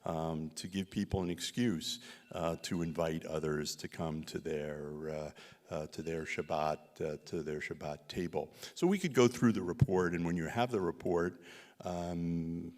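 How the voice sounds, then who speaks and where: A man, a visiting researcher, answering questions after a community talk, speaking haltingly with many fillers and restarts. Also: sits low, steady tone, a little mellow. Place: synagogue hall. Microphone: handheld microphone. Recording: long hall reverb.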